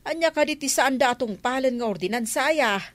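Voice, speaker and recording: An agreeable village confidante in a comedy radio drama, acted by a woman. She is a sympathetic woman with a voice pitched a little high. The recording is dry and close. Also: lively intonation, brisk, no echo. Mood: understanding.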